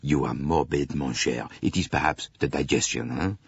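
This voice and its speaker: Expansive, sighing gentleman, husky. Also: extremely low pitch, normal speed, dry recording, muffled recording, voice pushed down, heavily acted. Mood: uneasy, coaxing. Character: philosophical, well-fed continental gentleman, warm and expansive.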